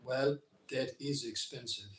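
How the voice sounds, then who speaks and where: A man's voice: medium pitch, lightly acted. A man playing a mild, earnest, reasonable man in a live reading. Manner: calm, measured, earnest. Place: community centre hall, live event. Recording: roomy hall echo.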